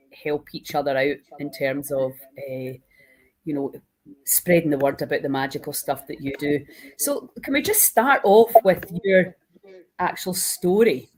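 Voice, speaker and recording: A woman's voice: low voice, rough recording. A woman hosting an informal live online interview in a chatty, enthusiastic way. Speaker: host.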